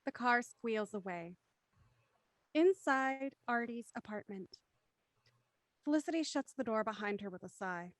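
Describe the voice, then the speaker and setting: A woman reading scripted stage directions for an audio drama in a crisp, matter-of-fact way. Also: a little high, expressive, measured pace, long pauses, dry recording. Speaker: narrator.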